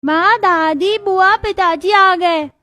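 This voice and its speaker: A woman's voice: very high voice, slow, sharp tone, exaggerated voice. A woman voicing a hungry, stubborn little boy in a cartoon story, whining and insistent. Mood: pleading. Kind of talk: Hindi animated moral story acting.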